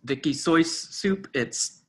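A man's voice: on the high side, slow pace. Man acting a fussy, officious restaurant host. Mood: exasperated, condescending. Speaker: actor in a staged reading.

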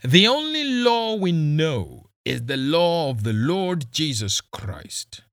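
A man voicing a cruel, mocking middle-aged male police squad leader, with a loud, slow, drawn-out delivery. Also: a little high, very animated, no room sound, studio quality, pitched above the performer's own voice, exaggerated voice. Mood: gloating.

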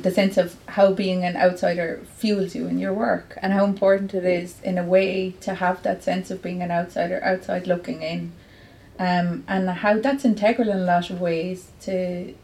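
A woman's voice: lowish voice, flat delivery, normal speed, a little mellow, slight background noise, low-quality recording. An Irish woman from Clare, a poet, answering earnestly at a live bookshop interview. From County Clare, Ireland. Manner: earnest, reflective, with many fillers. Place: bookshop event.

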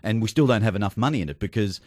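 A man's voice: fairly low pitch, expressive, racing, dry recording, clean studio sound. A man with an Australian accent hosting a sports radio panel show, chatting casually and switching to an upbeat announcer tone.

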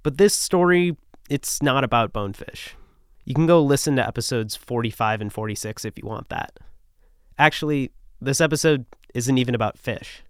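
A man in his twenties, a podcast host, narrating a scripted story in a measured way. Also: mid-range voice, clean tone, no room sound, clean studio sound. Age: 20s.

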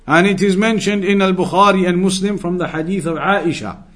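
Higher-voiced man giving an Islamic lesson. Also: fairly bright tone. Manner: didactic, deliberate, repeats key phrases for emphasis. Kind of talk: religious lecture, explaining Arabic texts in English.

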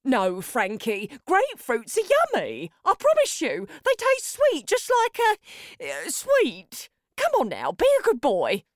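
A woman's voice: high voice, wildly swooping pitch, a little slow, hardly any pauses, no room sound, studio quality, heavily acted. A woman playing a doting, pleading mother in a children's story, coaxing her fussy son in sing-song encouragement.

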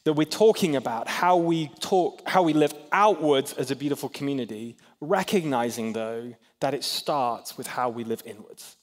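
A man's voice: mid-range voice, moderate pace, few pauses. Guy in his 30s from hong kong preaching, chatty and jokey, big echoey church hall. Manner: chatty, self-deprecating, asks the crowd questions. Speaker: pastor. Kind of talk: sermon. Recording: long hall reverb.

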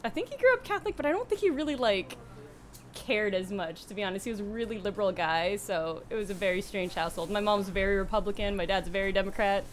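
A woman's voice: medium pitch, animated, quick pace, a little hiss or hum. Chatty young woman guest, giggly. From California. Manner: chatty, laughs often, lots of fillers. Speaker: guest artist. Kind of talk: casual interview chat.

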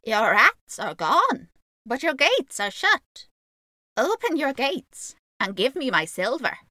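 A woman voicing a sly, menacing magpie-like piper man in a storybook tale, with a raspy, chittering, theatrical delivery. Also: on the low side, wildly swooping pitch, pushed and bright, no room sound, clean studio sound, heavily acted. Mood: commanding. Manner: slow, deliberate, theatrical. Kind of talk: storybook character voice.

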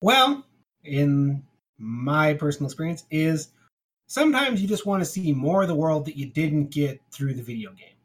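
A man's voice: fairly high pitch, lively intonation, moderate pace. A man co-hosting a podcast in a casual, conversational style. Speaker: podcast co-host. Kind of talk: casual chat.

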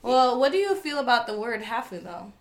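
A woman's voice: on the high side, very animated, fairly quick. A young woman chatting casually as an interviewer, asking questions and sharing her own experiences. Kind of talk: casual conversation.

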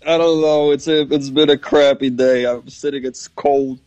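A man with a raspy voice from getting sick, rambling with many fillers as a quiz contestant. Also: mid-range voice, steady tone, moderate pace, a little mellow, no room sound. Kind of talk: casual chat.

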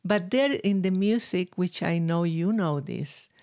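A woman's voice: fairly low pitch, unhurried, darkish tone. A woman in her sixties, a violinist guest, talking reflectively and earnestly in a casual interview and laughing now and then. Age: 60s.

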